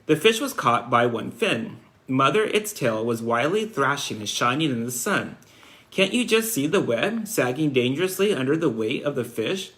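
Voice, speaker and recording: A man reading aloud as an earnest, imaginative little farm girl in a storybook, insistent and excited as a storyteller. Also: mid-range voice, moderate pace. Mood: eager, insistent.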